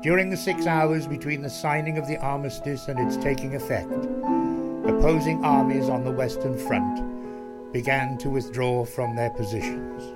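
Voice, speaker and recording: A man's voice: medium pitch, steady tone, normal speed, lightly acted. A man reading formal, factual scripted narration in a documentary style for a radio play. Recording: loud music under the voice. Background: music.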